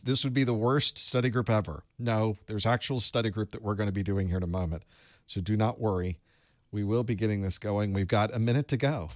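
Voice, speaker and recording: Relaxed low-voiced man hosting a live study group. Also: no room sound, clean studio sound. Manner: relaxed, chatty, greets viewers by location with light jokes. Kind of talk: casual live-stream chat and instruction. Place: home studio room with electronics.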